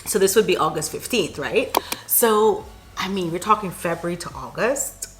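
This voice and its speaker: A woman from Barbados telling a personal story to camera as a vlogger, in an animated, chatty and emphatic way. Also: on the low side, moderate pace.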